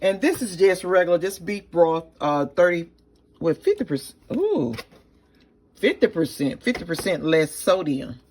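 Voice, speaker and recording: A woman with a raspy voice, chatting casually to her viewers in a home kitchen cooking vlog. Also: lowish voice, big pitch swings, normal speed, a little mellow. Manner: chatty, talks straight to viewers. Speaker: cooking vlogger.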